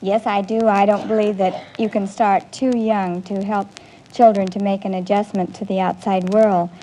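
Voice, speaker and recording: A woman's voice: medium pitch, little pitch movement, normal speed, dark tone, low-quality recording. A woman answering politely and earnestly as a quiz show contestant on a vintage broadcast. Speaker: contestant, nursery school teacher. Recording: vintage broadcast, audience in background.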